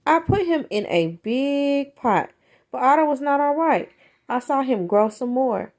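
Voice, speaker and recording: A woman reading a children's book aloud as an expressive storyteller, with deliberate, dramatic emphasis. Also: high-pitched.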